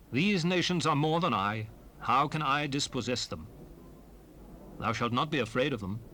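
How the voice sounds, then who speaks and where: An actor playing a solemn, commanding prophet who exhorts his people in measured, oratorical scripture recitation for an audio drama. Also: fairly high pitch, pushed and bright. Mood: commanding, fervent. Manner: solemn, oratorical, measured phrasing with emphatic rises and falls. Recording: noisy unprocessed 1970s cassette rip.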